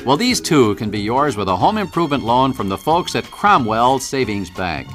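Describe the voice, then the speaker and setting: Male 1970s radio ad announcer, animated melodic read, music bed underneath. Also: mid-range voice, moderate pace. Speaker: commercial announcer. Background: guitar music.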